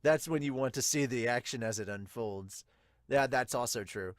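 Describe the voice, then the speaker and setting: A man in a dry, close recording gives casual, excitable commentary as a podcast host. His voice sits at a middling pitch, and he moves between reading aloud and reacting in exclamations. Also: moderate pace, no room sound.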